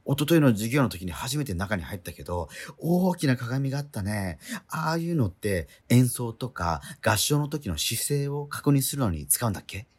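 A man's voice: medium pitch, very animated, husky. An actor playing an exasperated schoolboy straight man in a comedic radio drama, quibbling and retorting in disbelief. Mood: wearily doubtful. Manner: incredulous, quibbling, rapid retorts.

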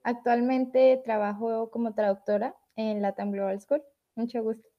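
A young Latin American woman, a translator, talks through her story in a relaxed, chatty way. Her voice sits a bit high, and laughter keeps slipping into it. The audio is muffled and lo-fi. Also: normal speed. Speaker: guest, translator. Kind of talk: casual podcast interview. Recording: dull lo-fi audio.

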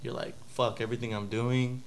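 A man's voice: mid-range voice, level tone, fairly quick, slight background noise, low-quality recording. A young man talking casually as a guest, rambling with lots of fillers and restarts. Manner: laid-back, rambling with many fillers. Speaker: podcast guest. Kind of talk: casual chat.

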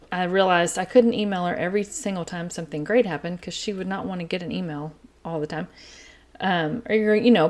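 A middle-aged woman with an East Texas accent, hosting her podcast from a quiet home in an earnest, chatty way. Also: fairly low pitch. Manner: chatty, earnest, talks faster as she warms up. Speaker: podcast host. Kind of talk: casual solo podcast talk.

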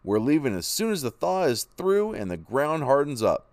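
A man's voice: medium pitch, sing-song, moderate pace, a little mellow, dry recording, pitched above the performer's own voice. A man voicing a determined young pioneer father who is set on heading west. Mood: firm. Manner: firm, eager. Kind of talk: storybook reading.

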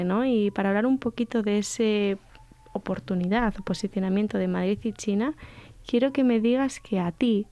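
A woman's voice: medium pitch, dark tone, no room sound. A woman from Spain hosting an interview, framing questions in an effusive way. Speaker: host.

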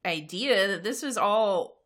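A woman's voice: medium pitch, lively intonation, takes it slowly, a bit bright. A woman recapping and discussing casually as a podcast co-host, conversational and often checking for agreement. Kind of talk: casual episode recap chat.

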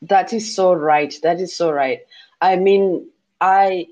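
A woman's voice: on the low side, slow pace, dark tone, dull audio. A Zimbabwean woman, a musician, answering interview questions thoughtfully with many fillers and restarts. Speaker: musician guest.

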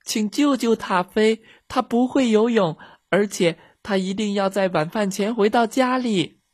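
A storyteller voicing an anxious, excitable little girl in a children's story. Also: medium pitch, slow pace, no echo, clean studio sound, voice pushed up. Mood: urgent pleading. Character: two anxious, excitable little girls out dog-walking.

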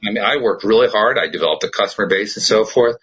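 A middle-aged man with a low, husky voice who hosts a podcast. He talks in an earnest, reflective way, telling his own story across a relaxed conversation. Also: fast talker, muffled recording. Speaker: podcast host. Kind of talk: casual conversation.

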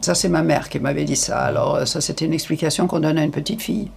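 An older woman giving frank, hesitant interview answers, with many pauses and fillers. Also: deep voice, gravelly, darkish tone, slight background noise.

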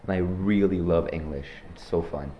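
A teenage boy from Norway hosting a joking school podcast, talking in deadpan, slangy banter. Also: deep voice, normal speed, echoey room, dull audio. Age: teens. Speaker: podcast host. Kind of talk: joking student podcast interview.

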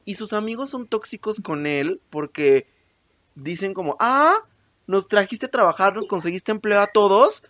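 A woman's voice: mid-range voice, moderate pace. A young woman with a Mexican accent, chatting casually as a podcast co-host, teasing and wandering off topic in slangy speech. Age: young adult. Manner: animated, teasing, digressive, slangy. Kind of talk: casual bantering chat with a friend.

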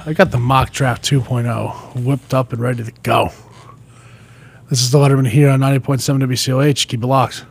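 A man's voice: mid-range voice, flat delivery, a little fast, vocal fry. Upbeat male sports radio host. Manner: upbeat, plugs the show and introduces guests. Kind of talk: casual sports talk radio. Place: college radio studio.

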